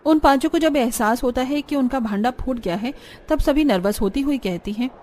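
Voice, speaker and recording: Lady narrating a cartoon story, slightly high voice, music under it. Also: normal speed, darkish tone. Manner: plain storytelling.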